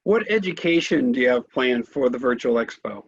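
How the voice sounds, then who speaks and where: A man's voice: medium pitch, animated, normal speed, breathy edge, dull audio. A man hosting a trade interview, introducing his guest and putting structured questions to him. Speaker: trade press interviewer and host.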